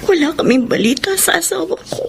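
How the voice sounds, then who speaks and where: An actress playing a devoted, anguished wife in a Filipino radio melodrama, tearful and highly emotional. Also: female voice, expressive, fairly quick, gravelly, a little hiss or hum, heavily acted. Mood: tearful despair. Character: devoted, anguished young wife of missing soldier.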